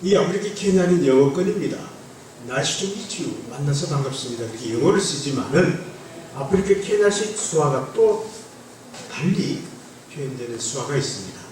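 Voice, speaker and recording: A man's voice: on the high side, darkish tone, slight background noise. A man from Andong with a slight North Gyeongsang accent, a guest pastor giving a playful sermon that teases the congregation. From Andong, South Korea. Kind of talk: joking testimony sermon. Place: church sanctuary. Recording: echoey church from a distance.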